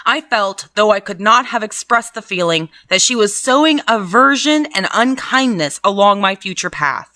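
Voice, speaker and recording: A woman's voice: mid-range voice, expressive, moderate pace, hardly any pauses, sharp tone, dry recording. A woman reading a classic novel aloud chapter by chapter as host, breaking off for blunt, profane and mocking comments on the story. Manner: blunt, swears freely, mocking. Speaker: reader and host.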